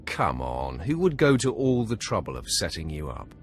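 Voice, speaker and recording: An actor playing a cool, calculating young Sith acolyte in a video game, measured and sardonic. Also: male voice, low voice, very animated, soft background music. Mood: reasoning. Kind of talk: video game cutscene acting.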